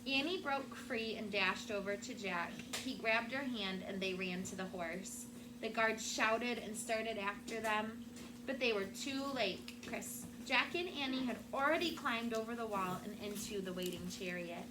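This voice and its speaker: A woman's voice: on the high side, unhurried. A woman teacher reading a storybook aloud to young children with dramatic, emphatic phrasing. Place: classroom. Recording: noisy room with background ambience.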